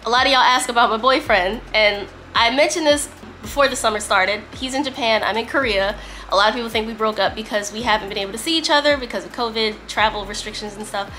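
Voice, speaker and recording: A woman's voice: on the high side, fast, sharp tone, slight background noise, low-quality recording. A woman vlogger chatting casually and emphatically to camera, with a slightly strained, congested voice from a lingering cough. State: congested, getting over a cough. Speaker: vlogger, host. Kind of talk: casual sit-down chat to camera, some reading aloud.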